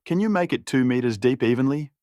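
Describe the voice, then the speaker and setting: A man's voice: mid-range voice, animated, fast, dark tone, no echo, clean studio sound, pitched above the performer's own voice, natural voice. A man playing a young male summoner who coaxes his pet with curiosity in an audiobook. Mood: testing, instructing. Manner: coaxing, talking to a pet.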